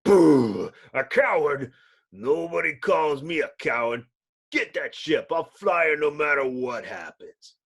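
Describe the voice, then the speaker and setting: Man playing a loud, hot-tempered gruff pilot. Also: a little high, animated, slow pace, exaggerated voice. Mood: indignant. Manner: blustering, forceful.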